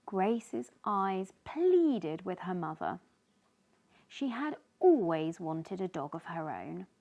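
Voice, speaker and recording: Slow, very expressive woman reading to children. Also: lowish voice, lo-fi sound. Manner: slow, animated, speaking to young children. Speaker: children's story reader, host. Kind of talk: expressive read-aloud of a children's picture book.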